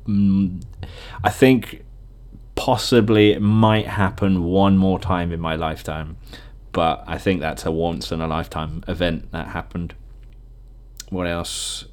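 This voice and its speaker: Low-voiced British man, casually rambling. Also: level tone, measured pace, darkish tone. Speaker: podcast host. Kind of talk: casual rambling solo reminiscing.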